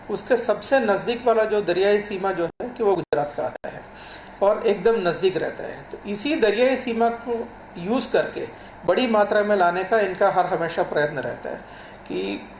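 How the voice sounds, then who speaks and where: A man explaining formally as an official in a TV interview, halting and repeating himself. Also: high-pitched, lively intonation. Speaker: law enforcement official, interview guest. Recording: roomy echo, faint background noise.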